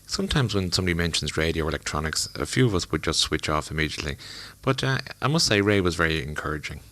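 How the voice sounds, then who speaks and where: A radio presenter, a man, linking the segments in a relaxed way with a few fillers. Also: a bass voice, fast, no room sound. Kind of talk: linking narration.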